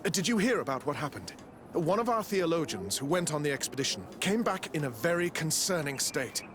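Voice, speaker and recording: Pious worried cleric, noisy background. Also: male voice, on the high side, big pitch swings, fairly quick. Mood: worried, deferential. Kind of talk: video game cutscene dialogue. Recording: clear background ambient noise.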